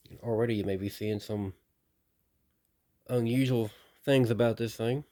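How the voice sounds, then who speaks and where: A man's voice: mid-range voice, steady tone, normal speed, mellow. A man casually narrating an unboxing as a hobbyist host, musing and thinking aloud. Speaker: radio hobbyist video host.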